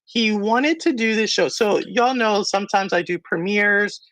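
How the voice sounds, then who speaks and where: A woman's voice: medium pitch, a little slow, a bit bright, dry recording. A woman hosting a live-stream talk show, chatting casually, talking to the viewers and laughing often. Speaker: podcast host.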